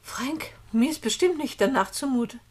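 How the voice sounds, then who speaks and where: A woman's voice: high voice, animated, normal speed, darkish tone, a little hiss or hum. An actress playing an anxious, insistent young woman in a radio drama, with an urgent, imploring delivery. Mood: distressed. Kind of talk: German radio play acting.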